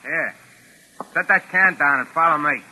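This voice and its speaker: A man's voice: on the high side, moderate pace, close to an everyday voice. An actor playing a menacing American gangster henchman in a 1940s radio thriller, talking slangy, bossy and threatening. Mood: gruff, bossy. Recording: noisy vintage radio broadcast recording.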